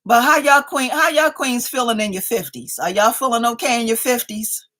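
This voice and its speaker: A woman's voice: on the high side, normal speed, a bit bright. A woman in her fifties hosting a casual livestream, chatting playfully with viewers and reading their comments aloud, speaking. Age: 50s. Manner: playful, chatty, keeps addressing viewers as y'all. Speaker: livestream host.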